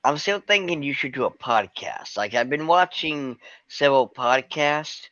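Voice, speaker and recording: A man joining a livestream chat with jokey, quick interjections. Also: fairly high pitch, expressive, bright, forceful tone, lo-fi sound. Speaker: livestream guest. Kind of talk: casual chat.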